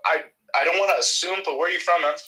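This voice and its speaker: A man's voice: on the high side, very fast, sharp tone, rough recording. A man from Mexico, a native Spanish speaker with a faint Mexican accent, chatting on a video call with friendly, stammering restarts. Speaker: video chat participant. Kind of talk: casual video chat.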